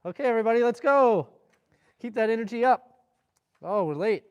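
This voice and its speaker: High-voiced British kung fu instructor. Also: male voice, brisk, smooth, no room sound, highs cut off. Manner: energetic, calls out group instructions. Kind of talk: leading a group class. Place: training ground at a mountain retreat.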